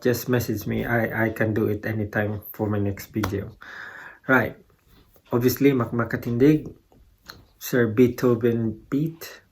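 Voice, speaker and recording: A man with a gravelly, fry-edged voice speaks very slowly in an unscripted vlog style. He sounds modest and a little halting, pausing as he addresses his viewers about gear. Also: mid-range voice. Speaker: guitar gear video creator. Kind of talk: casual unscripted vlog talk.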